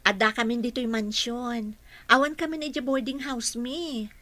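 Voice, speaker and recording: A woman's voice: a little high, fairly even intonation, moderate pace, a bit bright, natural voice. A woman playing an attentive, encouraging housekeeper and carer in a radio drama, with an Ilocano Filipino accent. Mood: friendly.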